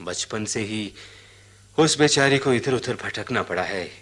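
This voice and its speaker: An actor in a radio drama playing a simple, troubled elephant-catcher, plaintive and halting, often repeating his phrases. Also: lowish voice, lively intonation, a bit bright. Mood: sorrowful.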